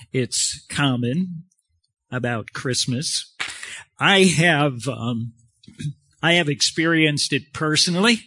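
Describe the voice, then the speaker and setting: A man preaching a sermon in a halting, conversational way, pausing often. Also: on the high side, bright, forceful tone, no echo.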